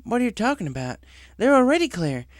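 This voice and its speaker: Man doing a high-pitched friendly farm mare. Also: sing-song, quick pace, no echo, clean studio sound. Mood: amazed. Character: friendly, surprised female farm pony.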